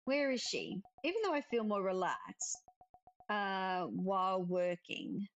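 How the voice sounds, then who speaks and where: A woman reading the part of a gentle, caring young witch in a cozy visual novel. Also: medium pitch, animated, takes it slowly, dull audio, faint music behind. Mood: worried. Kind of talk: visual novel character read aloud.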